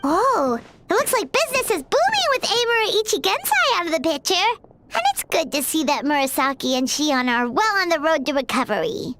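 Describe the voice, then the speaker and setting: A woman voicing a tiny, cheeky fairy girl companion in an anime game, squeaky, childlike and perky. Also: very animated, normal speed, noisy background. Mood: upbeat. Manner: perky, exclamatory.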